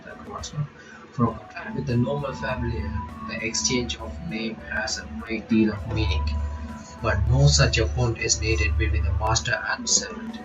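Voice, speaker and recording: A man reads a visual novel aloud and comments on it. He stumbles over some words and breaks off for quick asides. His voice sounds roomy, with clear game music playing behind. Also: lowish voice, fairly even intonation, highs cut off. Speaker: reaction channel host.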